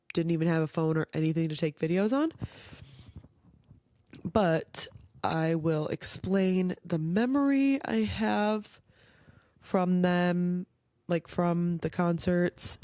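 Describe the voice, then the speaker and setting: A young woman hosting a casual solo podcast, chatty and rambling, her voice a little nasal and raspy from a cold. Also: deep voice, a little mellow, no echo, clean studio sound. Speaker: podcast host.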